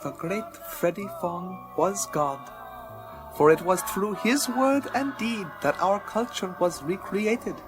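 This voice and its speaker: An actor playing a courteous, solemn alien detective guide in a radio play, with formal, stilted phrasing and broken English. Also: male voice, high-pitched, slow pace, poor audio. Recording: music under the voice.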